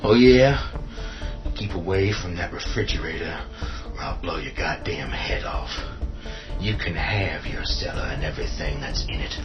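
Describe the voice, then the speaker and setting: Commanding hard man, low voice, big swings in pitch, blunt, taunting, radio horror drama, muffled lo-fi. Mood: menacing. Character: tough, defiant, foul-mouthed man taking command. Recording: dull lo-fi audio with music behind.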